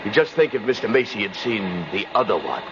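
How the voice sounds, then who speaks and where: A man's voice: on the low side, big pitch swings, fairly quick. An actor playing an eager, nervous man, enthusiastic and slightly flustered, in a 1950s radio drama. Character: eager, nervous, admiring male store colleague. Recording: muffled, noisy 1950s radio recording.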